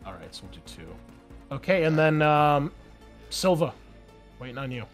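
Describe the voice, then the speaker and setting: A man's voice: a little high, big pitch swings, normal speed. Casual male game master over faint music. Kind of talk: casual tabletop game narration.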